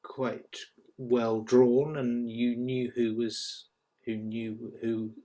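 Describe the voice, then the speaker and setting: A man's voice: medium pitch, flat delivery, extremely slow, dark tone. A man giving informal, tentative feedback on a script as a panel reader, hesitating as he goes.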